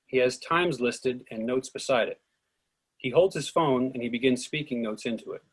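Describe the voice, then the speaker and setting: A man reading stage directions in an even, measured way for a script reading. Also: mid-range voice, slightly rough, poor audio.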